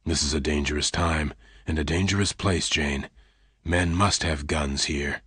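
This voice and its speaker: A man voicing a calm, blunt male gunfighter who speaks low, hushed and clipped. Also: measured pace, breathy edge, dry recording, full character voice.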